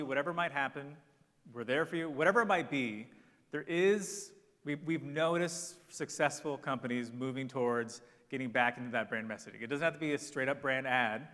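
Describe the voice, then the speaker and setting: Guy on a conference panel, confident and pitchy, sharp bright voice, echoey hall. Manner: brisk, confident, salesy advice. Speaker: panel speaker. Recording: long hall reverb.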